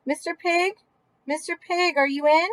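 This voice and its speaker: A woman's voice: very high voice, fairly even intonation, moderate pace, pitched above the performer's own voice. A woman voicing an indignant male wolf who tells his side of a children's storybook tale in a folksy, mock-innocent way. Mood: polite calling. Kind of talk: children's picture book read-aloud.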